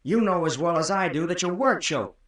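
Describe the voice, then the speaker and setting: Young American hero, earnest, protective, high-pitched, echoey old radio drama recording. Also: male voice, expressive, normal speed, a bit bright, recorded from a distance. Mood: accusing, insistent. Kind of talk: old-time radio horror acting.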